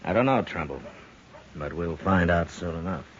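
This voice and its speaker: An actor playing a stern, weary, no-nonsense American frontier marshal in a 1950s radio western, terse and dry. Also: male voice, sits low, normal speed, close to an everyday voice. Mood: grave. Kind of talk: 1950s radio drama acting. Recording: muffled 1950s radio recording.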